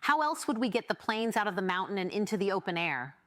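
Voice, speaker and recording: A woman's voice: mid-range voice, big pitch swings, fast, fairly bright tone, no room sound, clean studio sound. A woman voicing a nonchalant, unfazed female comrade with a casual purr, in an audiobook. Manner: purring, casual, unbothered. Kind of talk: audiobook character voice.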